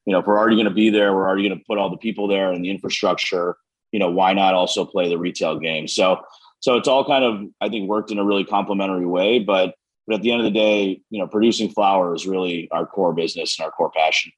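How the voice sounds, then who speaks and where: A man with an American accent and a low voice talks as a business co-founder being interviewed. He explains things conversationally, padding his points with "you know" and "kind of". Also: fairly even intonation, fast, poor audio. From United States, Western New York. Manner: hedging, often says "you know" and "kind of".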